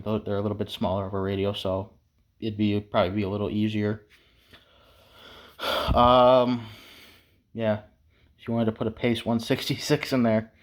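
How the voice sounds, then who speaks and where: A man giving a casual, unscripted hands-on DIY tutorial in a laid-back, folksy way. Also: on the low side. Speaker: DIY how-to presenter.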